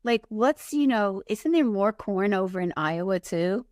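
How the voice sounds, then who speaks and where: A woman co-hosting a podcast, casual, enthusiastic and playful, quick to agree. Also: medium pitch, expressive, darkish tone, no room sound, clean studio sound. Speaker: podcast co-host. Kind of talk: casual chat.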